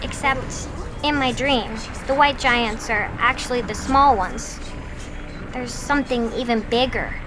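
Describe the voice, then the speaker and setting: A woman's voice: a little high, a little slow, background noise, natural voice. A woman playing an innocent, anxious young daughter in an audio drama, speaking with hesitant, childlike phrasing. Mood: wondering, eerie.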